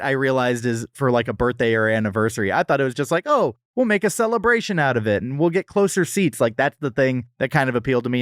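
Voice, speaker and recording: A man's voice: mid-range voice, no room sound, clean studio sound. A man co-hosting a podcast, chatting casually and jokily about food with plenty of exaggeration. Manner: jokey, hyperbolic. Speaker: podcast co-host. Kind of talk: casual comedic food review chat.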